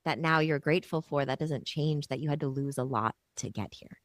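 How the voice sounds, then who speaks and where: A woman hosting a podcast, chatting in a reflective, relaxed way while she is losing her voice. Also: deep voice, fairly quick, no room sound, studio quality. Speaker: podcast host. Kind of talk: casual podcast conversation.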